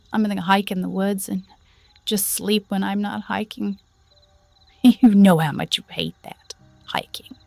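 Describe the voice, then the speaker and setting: A woman playing a betrayed, heartbroken woman in an audio roleplay, with halting, conversational delivery. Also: mid-range voice, vocal fry, a little mellow. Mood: weary, resigned. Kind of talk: audio roleplay drama, one side of phone call.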